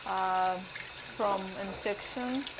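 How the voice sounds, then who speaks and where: Woman, Russian accent, very slow, hesitant, searching for words, lots of fillers. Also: medium pitch, noisy background. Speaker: vlogger. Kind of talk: halting casual talk in a second language.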